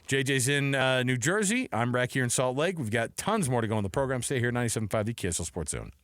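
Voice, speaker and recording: A man's voice: mid-range voice, animated, quick pace, fairly bright tone, dry recording. A middle-aged American man hosting sports radio in a casual, chatty, rambling way from a studio mic. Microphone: close studio microphone.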